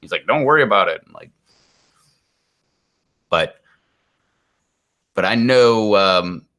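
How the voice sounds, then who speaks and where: A man's voice: fairly low pitch, lively intonation, slow, pushed and bright. A man, chatting casually to stream viewers in a rambling, exasperated way full of "like". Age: 40s. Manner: rambling and exasperated, heavy use of "like". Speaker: live stream host.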